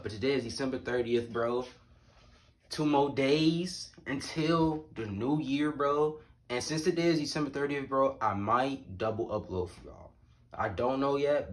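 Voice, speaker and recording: A twenty-year-old American man chatting casually to camera in a slangy, emphatic vlog style, repeating phrases for emphasis. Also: mid-range voice. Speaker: YouTube vlogger. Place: small indoor room at home. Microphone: phone or camera at arm's length.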